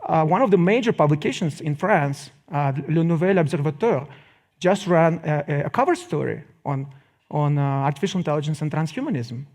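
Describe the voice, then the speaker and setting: Higher-voiced Russian-accented man giving a lecture. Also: animated, darkish tone. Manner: deliberate phrasing with dramatic pauses and wry asides. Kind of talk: public lecture.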